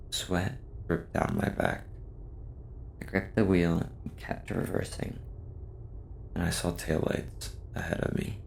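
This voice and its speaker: A man reads a scripted first-person horror story in a measured, subdued way, with a deep, gravelly voice. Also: darkish tone, slight background noise. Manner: slow, measured, subdued delivery.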